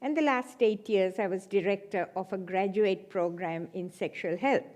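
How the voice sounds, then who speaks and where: A woman's voice: medium pitch, moderate pace, a little mellow. A woman in her seventies from Sri Lanka, giving a chatty church talk with self-deprecating humour. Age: 70s. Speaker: guest speaker. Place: church hall.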